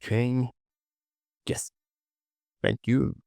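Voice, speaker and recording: A man casually presenting a software tutorial, talking to himself as he works and repeating short phrases. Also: medium pitch, steady tone, very slow, gravelly, no room sound, clean studio sound.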